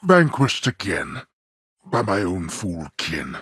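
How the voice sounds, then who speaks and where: A man playing a stern, imperious god of the underworld and disapproving father in a video game, with haughty, formal, deliberate delivery and a gravelly, husky voice. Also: sits low, wildly swooping pitch, fairly bright tone, dry recording, studio quality, heavily acted. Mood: pained, defeated. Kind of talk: theatrical video game voice acting.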